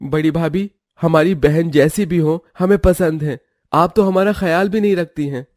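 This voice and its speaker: A man's voice: on the high side, moderate pace, a little mellow, dry recording, clean studio sound, close to an everyday voice. A man voicing an affectionate, eager young brother-in-law in a cartoon, earnest and caring. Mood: firm.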